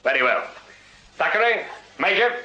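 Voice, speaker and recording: Commanding Victorian police sergeant, forceful edge. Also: male voice, sits high, big pitch swings, moderate pace, highs cut off, heavily acted. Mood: stern, urgent. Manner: authoritative, formal, insistent. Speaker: actor in detective radio drama. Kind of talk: full-cast radio drama acting.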